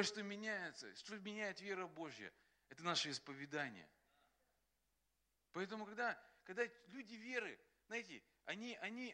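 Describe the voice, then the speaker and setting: A man preaching a sermon as pastor, animated and acting out quotes, often laughing. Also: fairly high pitch. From Russia.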